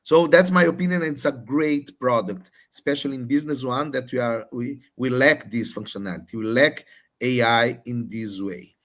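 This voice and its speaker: A man moderating a live Q&A, putting questions in a halting way as he searches for words and rephrases. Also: mid-range voice, fairly bright tone. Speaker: moderator relaying audience questions.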